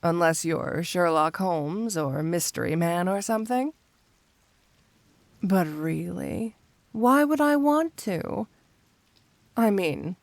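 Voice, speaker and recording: A woman's voice: on the low side, gravelly, darkish tone, no echo, heavily acted. A woman playing a flirty, smug supervillain cat burglar in an intimate ASMR roleplay, teasing and coaxing the listener with playful confidence. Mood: flirtatious, soothing.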